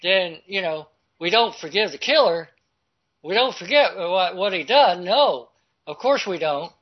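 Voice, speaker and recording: A man reading news articles aloud and commenting on them, halting and stumbling over words as he goes. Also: high-pitched, lively intonation, muffled recording. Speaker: political commentary host.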